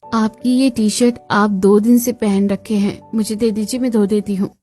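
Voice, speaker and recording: A woman voicing a put-upon young bride in a family cartoon, expressive and dutiful, given to muttering. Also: medium pitch, moderate pace, faint music behind, natural voice.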